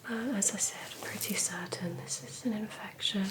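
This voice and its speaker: A woman whispering gently and breathily in an ASMR medical roleplay, calm, reassuring and unhurried like a clinician. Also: mid-range voice. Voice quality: breathy, mostly unvoiced whisper. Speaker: ASMR performer playing a calm clinician doing an ear exam. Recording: lo-fi recording with noise and clicks.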